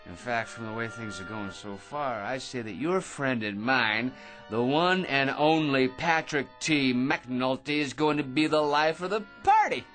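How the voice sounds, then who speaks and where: An actor playing a pushy, grandiose crackpot who rambles, stammers and repeats himself in a radio drama. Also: male voice, mid-range voice, animated, measured pace, a bit bright, soft background music. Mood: gloating. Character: chattering crackpot man, grandiose, pushy and self-satisfied.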